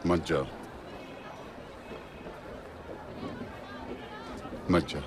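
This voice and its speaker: A man acting a bitter, quarrelsome, hard-drinking taxi driver husband in a film drama, talking in a rough street manner. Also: rumbling low, steady tone, normal speed, crowd noise. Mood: pleading. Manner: rough colloquial street Hindi, confrontational. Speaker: actor in a film. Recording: dramatic music behind the dialogue.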